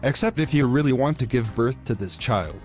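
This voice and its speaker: A man's voice: medium pitch, lively intonation, a little fast, darkish tone, faint music behind, lightly acted. A man playing a pushy, selfish young boyfriend in a scripted moral story, speaking in formal, wordy, insistent phrases. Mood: dismissive, evasive.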